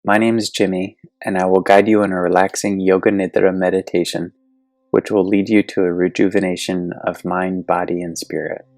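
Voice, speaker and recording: A man gently and soothingly guiding a yoga nidra meditation, speaking deliberately with long pauses. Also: sits low, flat delivery, gravelly, no room sound. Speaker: guided meditation instructor. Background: soft meditation music.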